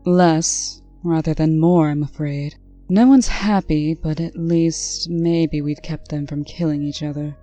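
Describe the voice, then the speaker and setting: A woman playing a stern, brisk official in a comic dub, speaking with dry, scolding authority. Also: sits low, fairly even intonation, dark tone, faint music behind. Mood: weary, wry. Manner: crisp, authoritative, dryly scolding. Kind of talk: scripted comic-dub acting.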